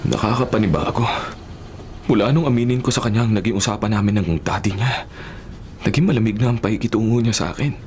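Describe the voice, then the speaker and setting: A man's voice: on the low side, fast talker, a little mellow, a little hiss or hum, lightly acted. A man playing a serious bodyguard who holds back his love, subdued and brooding, in a Filipino radio drama. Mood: wistful, resigned. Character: serious, lovelorn male bodyguard holding back feelings.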